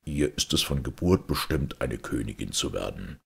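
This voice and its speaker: A man voicing a covetous, commanding king in a fairy tale, gravelly and very deep, weighty and imperious. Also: lively intonation, normal speed, a bit bright, full character voice.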